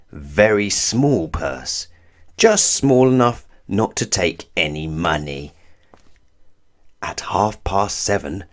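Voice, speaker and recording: A man reading a children's story aloud in an expressive, deliberate way, drawing out words for emphasis. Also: on the low side. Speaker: storybook reader. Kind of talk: scripted children's story narration read aloud.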